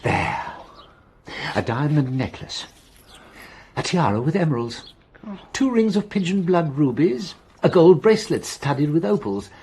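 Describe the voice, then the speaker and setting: An actor playing a shrewd, playful police inspector who chuckles and muses theatrically. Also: male voice, on the high side, a little slow, long pauses, husky, full character voice. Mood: curious. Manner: theatrical, chuckling, lively and musing. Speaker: detective. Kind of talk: radio drama acting.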